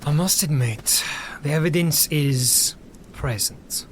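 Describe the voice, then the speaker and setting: An actor playing a sensible young knight in an audio drama, firm and dismissive. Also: male voice, fairly high pitch, slow, slight background noise. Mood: guarded.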